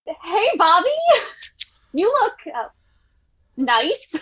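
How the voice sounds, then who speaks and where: A woman playing a hopeful young woman frustrated with her boyfriend, earnest and expressive, in a musical theatre read-through. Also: very high-pitched, extremely slow, full character voice, rough recording. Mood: surprised, questioning. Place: recorded remotely online.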